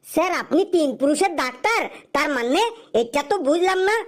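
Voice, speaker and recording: Woman acting smart-mouthed young jobless man, high cartoon pitch, teasing, glib, comic cartoon dialogue. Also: animated, fairly quick. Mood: mocking. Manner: glib, teasing back-talk.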